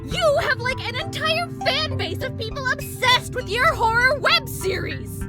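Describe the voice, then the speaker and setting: Casting: exasperated, sardonic female villain for a game, high voice with big swoops, music underneath. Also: animated, unhurried. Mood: startled, annoyed. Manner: exasperated, theatrical, swearing. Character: Kiki, sardonic, dramatic, gun-toting female villain rival. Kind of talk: visual novel game voice acting.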